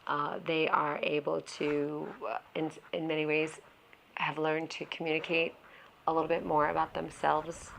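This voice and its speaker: A woman interviewed as an educator in a documentary, speaking slowly and measuredly with hesitant fillers. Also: low voice, monotone, vocal fry, fairly bright tone, a little hiss or hum.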